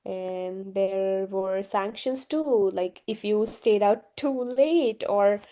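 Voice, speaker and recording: Young woman from Gujarat, native Gujarati speaker, interviewee; unhurried, warm-toned, soft-spoken with lots of ums. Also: mid-range voice. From Gujarat, India. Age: young adult. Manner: hesitant, many fillers, soft-spoken. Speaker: interviewee, university student. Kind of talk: casual interview answers.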